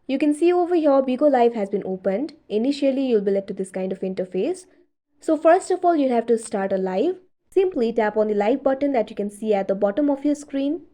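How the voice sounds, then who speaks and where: Higher-voiced woman narrating a tutorial. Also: lively intonation, brisk, warm tone. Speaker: tutorial host. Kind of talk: scripted step-by-step app tutorial narration.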